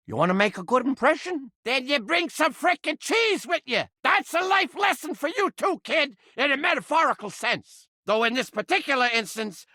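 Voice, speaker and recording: A man's voice: very high voice, pushed and bright, no room sound, clean studio sound, full character voice. A man voicing a cranky, foul-mouthed talking rat trap in a video game, with a Brooklyn accent and an abrasive, theatrical, wisecracking delivery. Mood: pushy, exasperated. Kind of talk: exaggerated comedic video game character acting.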